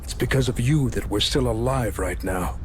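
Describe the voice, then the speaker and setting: A man playing a paternal doctor and mentor in a video game cutscene, speaking in a measured way. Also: lightly acted. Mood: accepting, grave. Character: paternal male doctor, supportive mentor to young rebel. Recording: clear rumbling noise behind.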